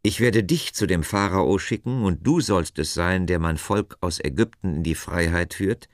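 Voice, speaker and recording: A man's voice: low-pitched, normal speed, no echo, studio quality, close to an everyday voice. A man voicing God as a stern, commanding deity in a Bible story, speaking solemnly and emphatically. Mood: solemn, resolute.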